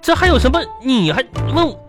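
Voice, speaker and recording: Aggrieved Northeastern Chinese husband, swooping voice. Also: male voice, very high voice, very animated, brisk, music in the background. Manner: stammering, repetitive, pleading indignation. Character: aggrieved, whiny henpecked husband and father. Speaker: radio comedy host and performer. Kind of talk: radio comedy sketch acting.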